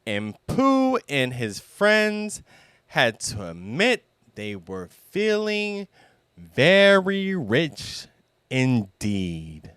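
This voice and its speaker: Male children's storyteller; smooth, clean delivery, close dry sound, expressive and deliberate. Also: medium pitch, no room sound. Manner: expressive, deliberate, emphatic phrasing. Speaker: storybook reader. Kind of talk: children's read-aloud narration.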